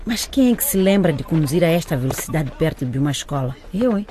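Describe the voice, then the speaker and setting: Brisk mother, noisy background. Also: deep voice, animated. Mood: irritated. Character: protective, bewildered mother, brisk with her children. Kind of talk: radio drama acting.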